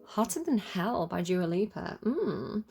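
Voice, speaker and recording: Creaky-voiced Manchester woman, chatty tarot reader. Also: medium pitch, big pitch swings, unhurried, darkish tone, soft background music. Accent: Manchester English. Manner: informal, hesitant, thinking aloud. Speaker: tarot reader, channel host. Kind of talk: casual, chatty tarot reading to viewers.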